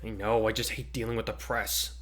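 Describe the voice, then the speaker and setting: A man's voice: fairly low pitch, level tone, fairly quick, fairly bright tone, lightly acted. A man voicing an irritable teenage boy hero student in a story reading, curt and grumbling.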